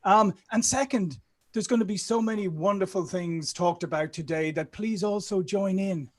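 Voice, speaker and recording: This is a man with a distinctly high voice for a male speaker. He is hosting a live online event, talking directly to viewers and putting questions to guests. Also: moderate pace. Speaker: event host. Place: online group call.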